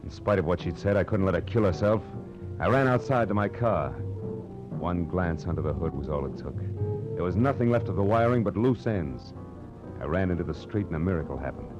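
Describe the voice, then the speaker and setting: An actor playing a hard-boiled, world-weary private detective in a 1940s radio drama, with an American accent and clipped, deadpan delivery. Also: male voice, low-pitched, brisk. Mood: grave. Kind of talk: 1940s radio noir acting, first-person narration. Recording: muffled old radio recording with music.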